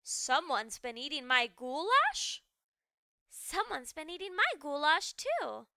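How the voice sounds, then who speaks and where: A woman's voice: high voice, very animated, slow pace, vocal fry, bright, forceful tone, no echo, studio quality, exaggerated voice. A woman voicing a friendly, easily startled storybook ghost in a theatrical, exclaiming manner. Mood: indignant surprise.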